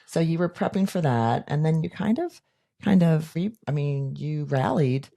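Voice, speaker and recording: A woman's voice: low-pitched, animated, normal speed, gravelly, dark tone. A middle-aged woman co-hosting a podcast in casual chat, upbeat and affirming her husband's points. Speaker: podcast co-host.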